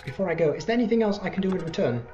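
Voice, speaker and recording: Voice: mid-range voice, lively intonation, rapid-fire, warm tone, lo-fi sound, soft background music, voice pushed up, close to an everyday voice. A let's player voicing a small, earnest, helpful child on a quest in a video game. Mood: earnest, curious.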